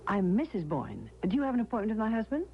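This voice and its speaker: An actress playing an anxious, inquisitive, devoted wife in an old radio drama, expressive and earnest. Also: female voice, medium pitch, fast talker, vocal fry, slight background noise. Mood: reflective, uneasy. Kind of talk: old-time radio drama acting with first-person narration. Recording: dull old radio with music.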